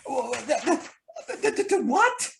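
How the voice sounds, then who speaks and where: A man playing a fussy, nervous gentleman sidekick in an old-time radio mystery, stammering and theatrical, with a husky voice. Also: extremely high pitch, very animated, a little slow, exaggerated voice. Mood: alarmed.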